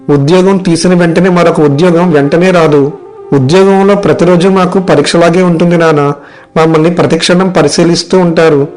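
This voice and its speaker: A man playing a weary, worried young software worker and dutiful son in a story dialogue, plaintive and complaining. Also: fairly high pitch, close to an everyday voice, poor audio. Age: young adult. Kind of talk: animated moral story dialogue.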